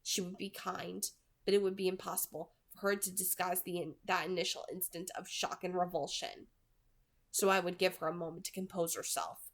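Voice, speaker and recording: A woman's voice: on the low side, level tone, a little fast. A woman in her twenties who reads books aloud and talks about them. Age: 20s. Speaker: reader and reviewer on a read-aloud channel. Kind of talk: audiobook-style reading, then casual book commentary.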